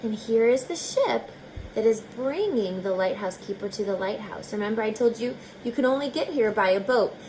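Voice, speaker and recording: A woman's voice: a little high, expressive, a little mellow, a little hiss or hum, poor audio. A woman teacher reading a children's picture book aloud expressively, with chatty asides spoken directly to young listeners. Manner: slow, deliberate phrasing, talking directly to young listeners.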